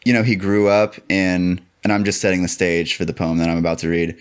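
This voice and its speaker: A man's voice: sits low, level tone, fairly quick, clear, resonant tone. A man talking as a podcast guest in a casual, rambling, philosophical way, often dropping in "like" and "you know". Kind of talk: casual conversational musing.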